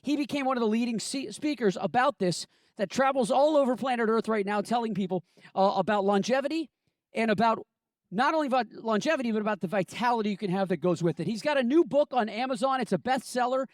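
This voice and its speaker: A man working as event emcee, introducing the next speaker with energetic hype. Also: very high voice, fast, no room sound.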